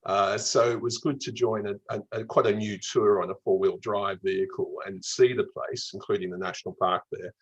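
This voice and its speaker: A man's voice: on the low side, steady tone, normal speed, few pauses, husky, highs cut off. A man from Melbourne with a mild Australian accent, telling travel stories conversationally as an interview guest. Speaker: travel writer being interviewed.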